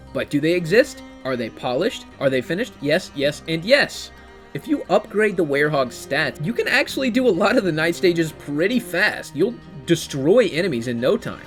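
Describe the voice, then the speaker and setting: Casting: young male game reviewer, lightish pitch, very lively inflection, informal and playful, enthusiastic video essay delivery. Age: young adult. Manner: animated, informal, slangy, playful asides. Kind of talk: enthusiastic video essay commentary, casual and scripted. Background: background music.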